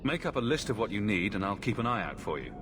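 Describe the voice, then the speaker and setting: A man's voice: lowish voice, big pitch swings, fast, background noise. A man playing a composed, loyal adventurer hero in a fantasy game, speaking sincerely and plainly. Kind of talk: dramatic game cutscene acting.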